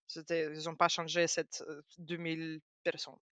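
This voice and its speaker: Ukrainian-accented woman, Russian first language; animated, halting French with lots of fillers, bright tone, dry close sound. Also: deep voice, no echo. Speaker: guest. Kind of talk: casual interview talk.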